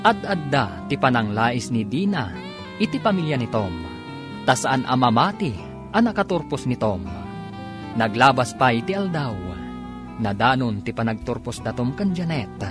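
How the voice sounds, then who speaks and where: A male narrator delivers scripted storytelling for a radio drama. His pitch is medium, and music plays clearly behind his voice. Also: sing-song, normal speed.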